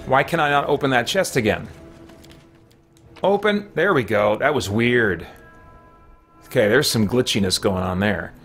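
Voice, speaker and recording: A man's voice: medium pitch, lively intonation, unhurried, long pauses, a bit bright, soft background music. A middle-aged man hosting a live gaming stream, rambling casually and thinking aloud as he plays. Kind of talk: casual live stream chat while playing. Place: small home room lined with shelves.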